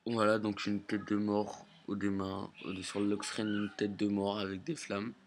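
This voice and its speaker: Low, monotone man with a creaky voice. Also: normal speed. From Portugal or Portuguese family. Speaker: amateur video presenter. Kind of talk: casual product demonstration. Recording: faint background noise, amateur recording.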